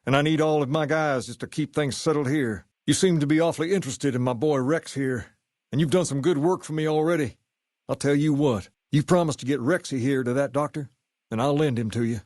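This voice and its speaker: A man's voice: a little high, brisk, a little mellow, no echo, clean studio sound, close to an everyday voice. An actor playing a suave, cocky male gang leader in a video game, talking with cool, slangy swagger. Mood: candid, persuasive. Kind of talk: scripted game character dialogue.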